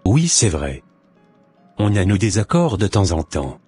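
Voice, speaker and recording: A man playing a remorseful, lonely husband in a scripted cartoon drama, speaking in an earnest, deliberate way. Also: low-pitched, big pitch swings, a little mellow, husky, no echo, soft background music, exaggerated voice. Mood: earnest, respectful. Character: remorseful, lonely husband swallowing his pride. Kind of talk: scripted animated drama monologue and dialogue.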